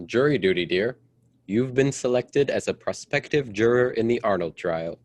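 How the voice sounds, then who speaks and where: A man playing a stuffy, domineering politician husband in a radio melodrama, with a brisk, formal, patronizing manner. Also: medium pitch, animated, a little mellow, no room sound, close to an everyday voice. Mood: stiff, reproachful. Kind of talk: old-time radio drama acting.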